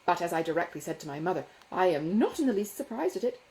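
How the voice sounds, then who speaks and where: A woman voicing a foppish, self-satisfied young gentleman in a classic novel, with an affected, gushing, condescending manner. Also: on the low side, very animated, fast talker, poor audio. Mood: affronted. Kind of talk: dramatised audiobook dialogue from a classic novel.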